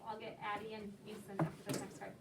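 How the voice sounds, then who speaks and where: A woman's voice: mid-range voice, monotone, fast. A woman teacher leading a read-aloud discussion with children, explaining simply and encouragingly. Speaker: elementary school teacher. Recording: echoey, distant mic, noisy with clicks.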